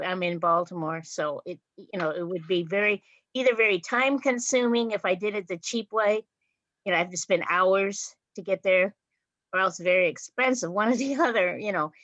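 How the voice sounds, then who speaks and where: A woman speaking casually and a little haltingly in a club meeting, over a lo-fi video call. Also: fairly low pitch, a bit bright, no room sound. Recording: dull lo-fi video call audio.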